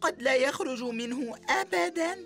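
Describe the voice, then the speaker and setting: An actress dubbing a curious, slow-witted young boy in a children's show, puzzled and full of questions. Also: sits high, expressive, vocal fry, music in the background.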